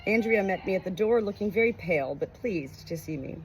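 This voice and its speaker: A woman narrating a memoir aloud in an expressive, emotive way. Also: mid-range voice, a little fast, slight background noise. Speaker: audiobook reader.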